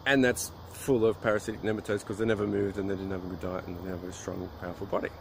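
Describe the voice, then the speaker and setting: A man's voice: deep voice, flat delivery, racing, gravelly. A man giving a rambling, informal monologue in an opinionated, scornful manner, often posing rhetorical questions. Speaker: vlogger on alternative health. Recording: loud outdoor urban traffic noise.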